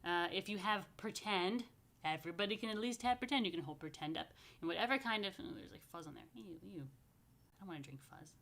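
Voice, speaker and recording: A woman's voice: medium pitch, fairly quick, fairly bright tone. A woman speaking as a rabbi to young families, informal and playful, in simple, chatty language meant for children. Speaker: rabbi leading a children's story time and blessings. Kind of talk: informal talk to young families, with story reading and blessings.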